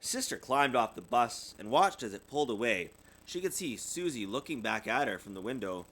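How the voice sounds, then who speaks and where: Man, animated storybook narration, expressive swooping delivery, parent reading aloud, occasional stumbles. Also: medium pitch, normal speed, low-quality recording.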